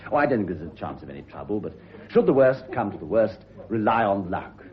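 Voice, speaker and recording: An actor playing a flippant, unruffled gentleman adventurer with an English accent and a dry, polite manner in a radio drama. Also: fairly low pitch, sing-song, quick pace. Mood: assured. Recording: dull, noisy old radio recording.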